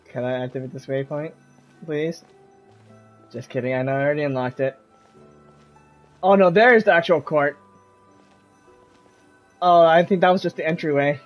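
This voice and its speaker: A man's voice: a little high, fairly even intonation, moderate pace, long pauses, muffled recording, faint music behind. A man streaming a game, giving casual live commentary in muttered reactions.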